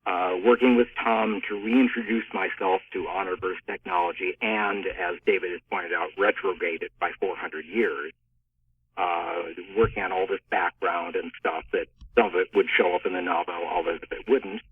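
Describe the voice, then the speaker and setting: A man's voice: sits low, fairly even intonation, normal speed, husky, no room sound, far from the mic. A man speaking as a guest author in a panel discussion about books. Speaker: author being interviewed. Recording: thin phone-like band.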